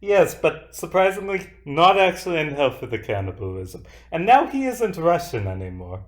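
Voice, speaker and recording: A man running a tabletop game as dungeon master, animated and joking, often correcting himself mid-sentence. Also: fairly high pitch, moderate pace.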